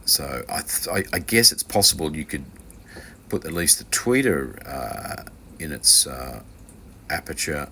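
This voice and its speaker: A man's voice: extremely low pitch, animated, unhurried, gravelly, sharp tone, a little hiss or hum. A man giving an informal, unscripted hands-on tutorial as an instructor, hesitant and rambling, with dry, offhand asides. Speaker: instructor from a loudspeaker kit maker.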